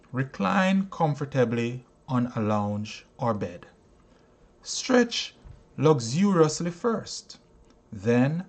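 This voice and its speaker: Man, mid-pitched voice, slow scripted narration, deliberate phrasing, frequent pauses, instructional audiobook style. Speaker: audiobook reader, teacher. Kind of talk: scripted narration, self-help audiobook reading.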